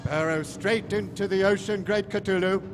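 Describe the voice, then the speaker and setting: Casting: man to play eccentric alien genius villain, high-pitched and gleefully disdainful, big theatrical stage delivery in a reverberant hall. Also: fairly even intonation, normal speed, over music, natural voice. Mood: triumphant. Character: eccentric, flamboyant alien mad professor, gleefully callous toward humans. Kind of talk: live radio-play stage acting. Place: large hall stage at a convention. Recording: echoey hall stage recording, faint noise.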